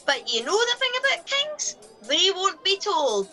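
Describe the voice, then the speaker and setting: A woman's voice: very high voice, very animated, measured pace, sharp tone, soft background music, full character voice. A woman playing a blunt, no-nonsense Scottish cleaning woman in a radio comedy play. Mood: indignant, gossipy.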